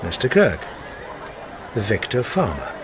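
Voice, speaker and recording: An urbane, arch man of about fifty in a radio play, coolly menacing and amused. His delivery swoops expressively over clear crowd noise. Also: fairly low pitch, wildly swooping pitch, takes it slowly, full character voice. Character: suave fifty-year-old male stranger, cold, amused, menacing. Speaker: actor in a radio drama. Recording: noisy outdoor crowd ambience behind.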